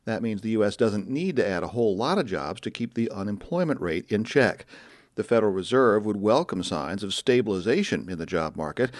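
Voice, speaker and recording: A man correspondent delivering an economics news report in a measured tone. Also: fairly low pitch, expressive, dry recording, studio quality.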